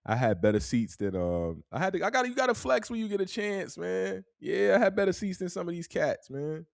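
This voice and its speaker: A man's voice: sits high, big pitch swings, a little fast, vocal fry, no room sound, clean studio sound. A young man from New York with a New York accent, telling a story in a casual, laid-back way and tagging his sentences with "man". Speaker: podcast host.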